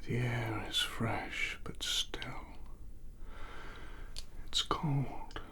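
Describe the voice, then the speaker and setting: A man giving an ASMR guided sleep story in a soft, breathy, soothing hypnotic manner, lingering on repeated phrases. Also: medium pitch, drawn-out words.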